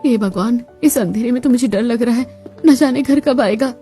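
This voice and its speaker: A woman's voice: fairly high pitch, normal speed, warm tone, soft background music, natural voice. A woman voicing a sarcastic, defiant young daughter-in-law in a family cartoon, dramatic and mock-sweet. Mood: frightened.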